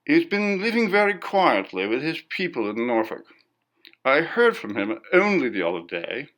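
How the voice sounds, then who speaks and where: Man reading as a guarded gentleman, animated pitch. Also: moderate pace. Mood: informative. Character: reticent society gentleman, reluctant bearer of grim news. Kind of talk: audiobook character dialogue.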